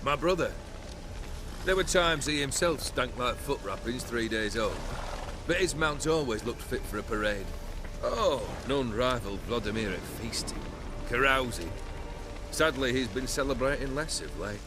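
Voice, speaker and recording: A man's voice: medium pitch, animated, normal speed, over music, lightly acted. An actor playing a cold, haughty nobleman who leads bandits in a video game, mocking and formal in his phrasing. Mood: mocking, imperious.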